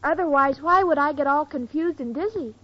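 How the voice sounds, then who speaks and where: Woman playing a very high-pitched, naive dim-witted young woman. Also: a little fast, close to an everyday voice. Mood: earnest, muddled. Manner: naive, earnest, literal-minded delivery. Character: sweet dim-witted young woman roommate, cheerfully muddled. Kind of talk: 1940s radio sitcom acting. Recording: dull old 1940s radio recording.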